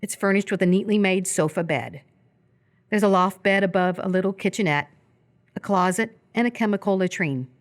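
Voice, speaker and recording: A woman's voice: medium pitch, moderate pace. A woman narrating scripted stage action for a live radio theatre piece.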